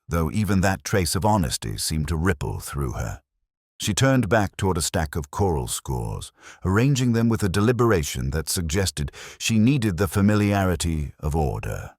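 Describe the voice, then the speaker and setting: A man narrating a scripted first-person detective mystery in a measured, formal, literary manner. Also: sits low, no echo, studio quality.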